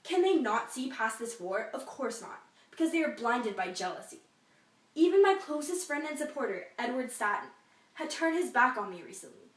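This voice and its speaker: A woman's voice: fairly high pitch, big pitch swings, normal speed. Echoey, pompous Civil War general acted by a woman. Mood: scornful, wounded. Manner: pompous, formal oratory. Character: George McClellan, boastful, bitter dismissed Civil War general, man. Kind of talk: memorized history class soliloquy. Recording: echoey room, distant microphone.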